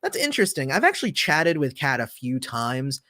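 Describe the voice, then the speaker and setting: A man hosting a casual livestream, answering viewer comments in a relaxed, rambling way with lots of fillers, often trailing off softly. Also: mid-range voice, moderate pace, no echo, clean studio sound. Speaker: channel host.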